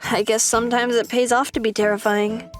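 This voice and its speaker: A woman's voice: fairly high pitch, flat delivery, fairly quick, soft background music, close to an everyday voice. A woman voicing a blunt, self-conscious young woman with dry wit in a manga dub. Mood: musing. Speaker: voice actor.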